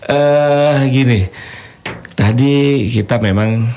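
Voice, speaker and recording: A man hosting a podcast interview, talking in a friendly way, often pausing with fillers and laughing easily. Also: medium pitch, warm tone, slight background noise. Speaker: podcast host, doctor.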